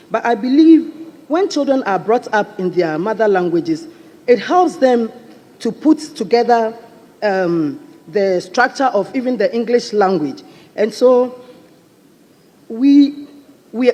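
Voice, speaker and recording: A man with a Ghanaian accent giving a measured formal speech in parliament, appealing to the chair. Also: sits high, darkish tone. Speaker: member of parliament. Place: large parliament chamber. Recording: long reverb of a large chamber.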